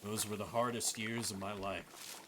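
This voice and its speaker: A man voicing a kindly, teasing former monk and devoted husband, playful and unhurried, in a game playthrough. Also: lowish voice, little pitch movement, background noise, pitched below the performer's own voice, close to an everyday voice. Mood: reflective.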